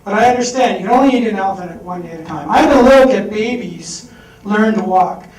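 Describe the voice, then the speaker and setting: High-voiced man telling a story in a hall. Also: fast. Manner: candid, animated storytelling, acts out quoted voices. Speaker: speaker at a fundraising event. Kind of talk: personal story talk on stage. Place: event hall with audience. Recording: echoey hall recorded from far off.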